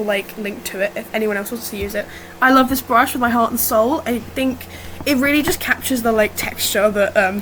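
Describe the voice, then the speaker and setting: A woman with a slightly rough, under-the-weather voice gives casual, unscripted commentary on her own artwork in a chatty, self-deprecating way. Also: on the high side, a bit bright, poor audio. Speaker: digital artist narrating own speedpaint. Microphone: built-in or improvised, no dedicated mic. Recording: fan noise, no proper mic. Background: whirring fan.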